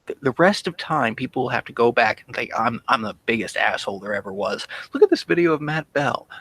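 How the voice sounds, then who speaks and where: A man in a casual online group chat, relaying viewer questions in a halting way that repeats phrases. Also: mid-range voice, expressive, a bit bright. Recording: clear background noise on online call.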